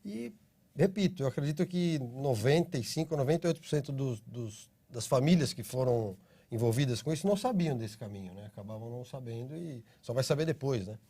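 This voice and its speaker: A middle-aged Brazilian man answering interview questions at length, patiently laying out a process point by point. His voice is mellow and soft-edged, with a slightly husky grain, and he keeps checking in with short tag questions. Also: mid-range voice, brisk. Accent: Brazilian Portuguese. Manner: steady explaining, frequent tag questions. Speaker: interview guest, citizenship consultancy CEO.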